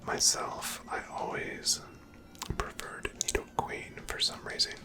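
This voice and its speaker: A person whispering gentle, chatty ASMR commentary over a video game, breathy and close to the microphone. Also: very high-pitched, monotone, slow pace. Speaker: gameplay host.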